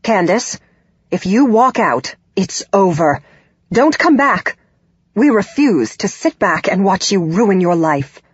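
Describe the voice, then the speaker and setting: A woman voicing a condescending, controlling middle-aged mother in an audiobook, with a husky voice. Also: medium pitch, very animated, takes it slowly, dry recording, dull audio, full character voice. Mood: cold, threatening. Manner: haughty, disapproving, condescending.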